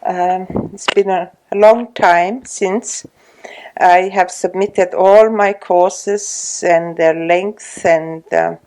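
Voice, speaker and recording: Slow, gravelly Estonian-accented woman. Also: lowish voice, steady tone, darkish tone, rough recording. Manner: slow, hesitant, many pauses and fillers. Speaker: retired social worker interviewee. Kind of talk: oral history interview. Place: her home.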